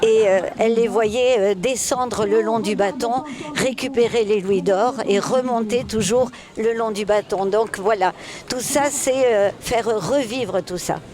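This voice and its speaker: A man calmly explains a tradition to an interviewer, pausing often mid-sentence. A loud crowd fills the air behind him. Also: high-pitched. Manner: hesitant, many fillers. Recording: outdoor crowd noise.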